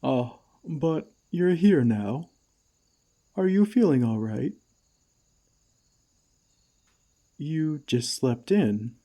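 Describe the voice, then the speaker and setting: A man playing a gentle, caring friendly giant in an ASMR comfort roleplay, with tender, old-fashioned, unhurried phrasing. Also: fairly high pitch, big pitch swings, heavily acted. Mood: gentle concern.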